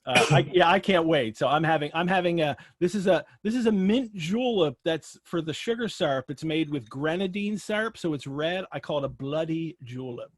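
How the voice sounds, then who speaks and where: An American man with a slightly high voice hosts a live online chat. He is effusive and jokey as he talks to his guest and audience. The sound is close and dry, with very little room in it. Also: animated, normal speed, few pauses, dry recording. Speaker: host of an online literary show. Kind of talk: live webinar interview and casual chat. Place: video call.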